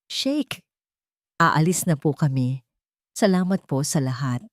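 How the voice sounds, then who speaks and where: A woman's voice: sits low, animated, slow, no echo. Woman playing a hurt, humble young woman. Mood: hurt, cold. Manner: polite, restrained.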